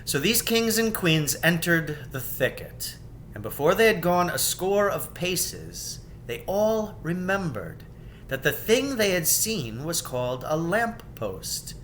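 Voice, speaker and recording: A man narrates a children's storybook with theatrical care. He takes his time, with a bright, forceful tone and wide swings of pitch. Some rumbling noise sits behind him. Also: big pitch swings, takes it slowly, low-quality recording. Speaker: storybook reader. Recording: background noise with low rumble.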